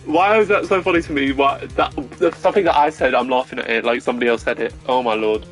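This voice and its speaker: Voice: medium pitch, fast talker, soft background music, poor audio. A streamer giving casual, self-mocking commentary while playing a courtroom video game. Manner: giggly, self-mocking, animated.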